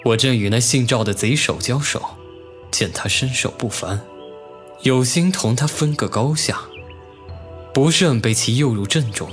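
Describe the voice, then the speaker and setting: An actor playing a proud, teasing and wistful young nobleman officer in an ancient-costume audio drama, with measured classical phrasing. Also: mid-range voice, faint music behind, lightly acted. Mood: grim, recounting. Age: young adult.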